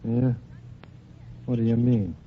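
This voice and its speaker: A man's voice: medium pitch, steady tone, slow pace, natural voice. A man playing a blunt, slangy nightclub gangster who talks ungrammatically, in 1940s radio acting on a dull lo-fi recording with faint hiss. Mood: defensive. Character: tough, defensive male nightclub gangster.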